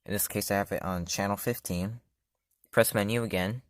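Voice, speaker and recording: Guy with a really low voice showing off a gadget, lots of ums and self-corrections, noisy background. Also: fairly even intonation, normal speed. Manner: casual, loose, many fillers and self-corrections. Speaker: product reviewer. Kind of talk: casual hands-on demo.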